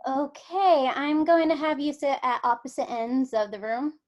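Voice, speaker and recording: A high-pitched, bossy alien examiner, acted by a woman, with dry, blunt delivery and open scorn for humans. It sounds like a part in a comedy script table read. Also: steady tone, normal speed, a little mellow, lo-fi sound, natural voice. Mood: brisk, official.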